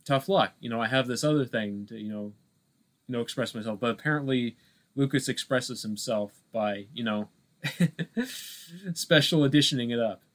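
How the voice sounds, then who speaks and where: A man with a mid-pitched voice in casual conversation as a podcast co-host. He circles around his point, restarting phrases and hesitating as he thinks out loud. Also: normal speed. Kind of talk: casual film discussion.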